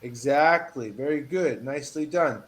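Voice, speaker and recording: A man teaching a one-on-one English lesson over a video call, patient and encouraging, reading model phrases with lively emphasis. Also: mid-range voice, moderate pace, slight background noise. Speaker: English tutor.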